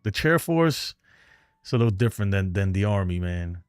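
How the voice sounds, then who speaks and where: A man's voice: deep voice, big pitch swings, normal speed, smooth, dry recording, clean studio sound. A man with a New York accent hosting a fan live stream, chatting loosely and rambling as he answers viewer comments. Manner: rambling, talkative, loose and frank.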